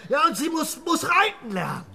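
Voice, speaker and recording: An actor playing a boastful cowboy father in a radio play, excited and dreaming big. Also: very high voice, normal speed, gravelly, crowd noise, full character voice.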